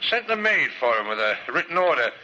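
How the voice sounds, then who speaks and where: A highish, blunt police sergeant. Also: male voice, big pitch swings, fairly quick, pushed and bright, full character voice. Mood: matter-of-fact. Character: plain, dutiful police sergeant. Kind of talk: old-time radio drama acting. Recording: muffled, noisy old radio recording.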